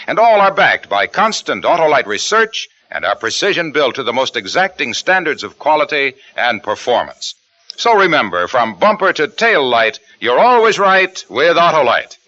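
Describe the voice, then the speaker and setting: A man reading radio commercials and public service notices in a brisk, emphatic 1950s style. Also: on the high side. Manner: brisk, lively, emphatic salesman delivery. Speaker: commercial announcer. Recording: muffled old radio broadcast.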